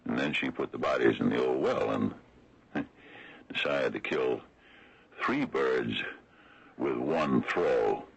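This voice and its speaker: An actor playing a wry, folksy small-town lawman, speaking deliberately in a 1970s radio mystery style. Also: exaggerated voice, poor audio. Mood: somber, sardonic. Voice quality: very deep, gravelly, rough. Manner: deliberate, folksy, sardonic, hesitant drawn-out delivery. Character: wry, slow-talking older small-town lawman exposing a cover-up. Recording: old radio drama broadcast recording.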